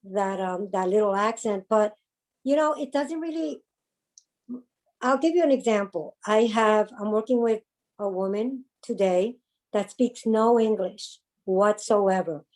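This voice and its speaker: A woman from the South Bronx with a light Spanish-tinged New York accent, talking in a mellow, warm tone. She speaks earnestly and haltingly, often pausing on ums and repeating herself as she searches for words. Spanish is her first language. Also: medium pitch. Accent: Spanish-influenced New York. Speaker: domestic violence advocate, guest. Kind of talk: interview conversation.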